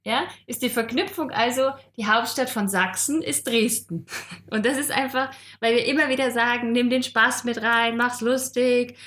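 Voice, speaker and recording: Woman podcast co-host, lively and chatty with a raised pitch. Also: moderate pace. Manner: lively and chatty, laughs often. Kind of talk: casual podcast chat.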